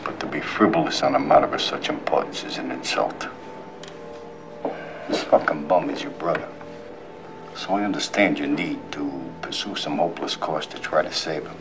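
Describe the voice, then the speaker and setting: A gravelly mob boss. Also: male voice, rumbling low, background noise. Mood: menacing. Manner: slow, quietly threatening, deliberate. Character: menacing older male mob boss, cold and controlled. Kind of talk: film dialogue. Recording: film soundtrack with music underneath.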